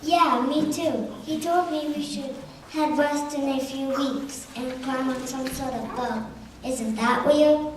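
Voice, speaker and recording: A woman's voice: high-pitched, steady tone, slow pace, distant mic. A woman playing chatty storybook characters in an amateur puppet show, recorded in a very echoey, noisy room.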